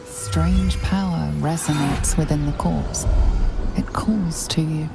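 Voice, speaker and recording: An ominous, knowing female narrator for dark fantasy. The voice is low and gravelly, delivering each line in a measured, portentous way over very loud music. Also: steady tone, full character voice. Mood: ominous, tempting. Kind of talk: scripted second-person game narration. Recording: loud game music behind.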